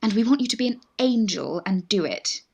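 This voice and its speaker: A woman's voice: mid-range voice, animated, a little fast, vocal fry, darkish tone, breathy edge, highs cut off. A woman with a British accent playing an eager young Victorian lady in love in a radio farce, genteel and pleading. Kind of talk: Victorian stage farce, radio play acting.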